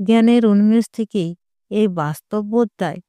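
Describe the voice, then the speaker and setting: A woman's voice: medium pitch, moderate pace, dark tone, smooth, no room sound, lightly acted. A woman storyteller voicing a stern, indignant young mother, lecturing emphatically in an audio story.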